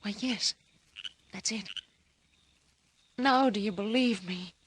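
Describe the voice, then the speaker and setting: A woman's voice: medium pitch, takes it slowly, a little hiss or hum, close to an everyday voice. An Australian actress playing an anxious, earnest young woman in an old-time radio thriller, speaking in a breathless, dramatic, insistent way. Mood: hushed, uneasy.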